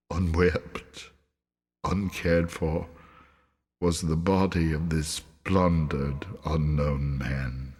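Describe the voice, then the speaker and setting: A man's voice: low voice, slightly rough. A man reading a story aloud as dramatic audiobook narration, recorded with a long hall-like reverb. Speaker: audiobook reader.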